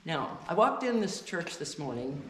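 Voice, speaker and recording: Loud-voiced woman in an echoey church. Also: deep voice, sing-song. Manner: deliberately loud, chatty, many restarts. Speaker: guest speaker. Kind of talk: informal talk to a church congregation. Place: church sanctuary. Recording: echoey church with faint noise.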